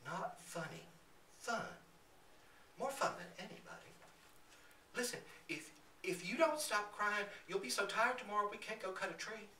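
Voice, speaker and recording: A man voicing a tender seven-year-old boy in a story, softly coaxing and earnest. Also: high voice, expressive, moderate pace, long pauses, voice pushed up. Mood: soft pleading. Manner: soft, coaxing. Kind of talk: acted storybook reading. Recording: roomy, distant mic, faint background noise.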